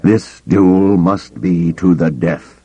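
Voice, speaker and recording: Old male Jedi villain, acted by a male narrator: low, mellow, deliberate, pompous and condescending menace for a space-opera audiobook. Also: slightly rough, exaggerated voice. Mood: stern, reproving.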